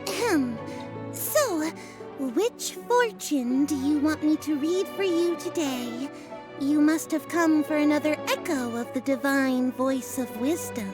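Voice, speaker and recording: A woman voicing an awkwardly obliging female fortune teller in a video game, halting and reassuring. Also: high-pitched. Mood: reassuring, flustered. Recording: music behind the voice.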